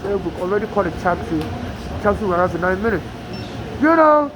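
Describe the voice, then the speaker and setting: Woman, Nigerian-tinged American accent, mid-pitched, rambling grievance monologue, outdoor street noise. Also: animated, quick pace. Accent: Nigerian-tinged American English. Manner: rambling, aggrieved, with frequent restarts. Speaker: immigrant telling her story. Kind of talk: personal monologue to camera.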